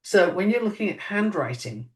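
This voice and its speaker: A woman co-hosting a podcast in casual chat, musing and lively, her pitch jumping up on emphatic questions. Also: moderate pace. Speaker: podcast co-host. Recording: voice a bit distant from mic.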